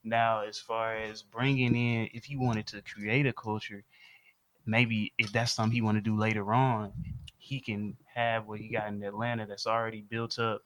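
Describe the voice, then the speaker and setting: A man's voice: fairly low pitch, level tone, normal speed, a bit bright. A man explaining his view in casual, slangy conversation.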